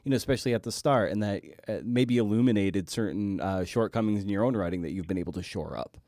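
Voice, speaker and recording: A man hosting a casual interview, feeling his way haltingly into long, tentative questions. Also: fairly low pitch, a little mellow, no echo, clean studio sound. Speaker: podcast host, interviewer.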